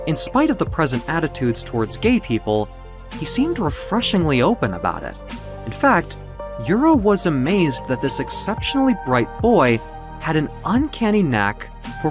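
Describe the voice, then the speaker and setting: Man telling a story with animated intonation. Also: fairly high pitch. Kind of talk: dramatic scripted storytelling of myth and adventure.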